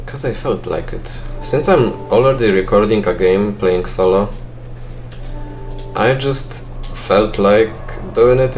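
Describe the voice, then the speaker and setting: A young man with a Polish accent, a non-native English speaker, giving dry, self-mocking gameplay commentary and thinking aloud with fillers. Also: lowish voice. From Poland. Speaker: let's play gamer.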